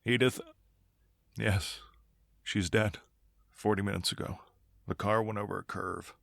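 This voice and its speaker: A man's voice: on the low side, steady tone, unhurried, vocal fry, a bit bright, no echo, clean studio sound. A man playing an authoritative middle-aged doctor and husband in the style of old time radio drama, clipped and insistent. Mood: stunned, grieving.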